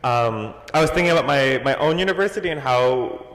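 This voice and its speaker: Young man at the floor mic, loud and halting, echoey hall. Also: mid-range voice, expressive. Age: young adult. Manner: loud, halting, restarts with many ums. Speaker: audience member asking a question. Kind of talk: question from the floor. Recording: echoey hall, long reverb.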